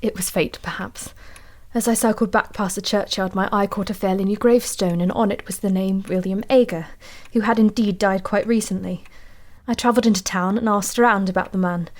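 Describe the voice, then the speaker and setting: An actress playing an anxious, haunted woman antiquarian in an audio drama, telling her tale in a measured, confiding way. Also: medium pitch, steady tone, darkish tone, natural voice. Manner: measured, confiding, uneasy storytelling.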